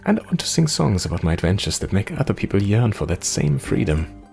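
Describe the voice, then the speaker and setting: A man's voice: low-pitched, big pitch swings, fairly quick, creaky, darkish tone, soft background music, full character voice. A man voicing a young forest ranger in a fantasy game, dreamy, earnest and yearning for adventure.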